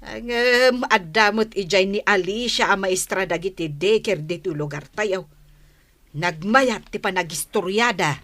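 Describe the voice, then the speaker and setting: A woman's voice: mid-range voice, unhurried, a bit bright, no room sound, close to an everyday voice. A woman playing a nosy, jealous village gossip in a radio comedy drama, grumbling and exclaiming with an Ilocano accent. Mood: insistent.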